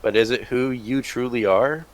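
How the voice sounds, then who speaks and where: A man voicing a calm old bearded male stranger who asks slow, philosophical questions in a tabletop game. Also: medium pitch, close to an everyday voice. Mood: probing.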